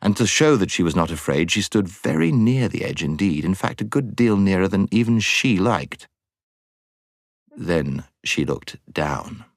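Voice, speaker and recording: A man narrating a storybook as an audiobook reader, with dramatic, measured phrasing. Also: deep voice, sing-song, gravelly, no echo, clean studio sound.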